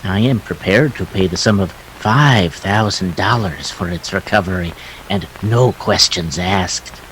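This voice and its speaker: A man's voice: deep voice, fairly bright tone, a little hiss or hum, low-quality recording. A man acting a fussy, formally polite and slippery Greek crook in a 1940s detective radio play. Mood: smoothly courteous. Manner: formal, precise, halting politeness.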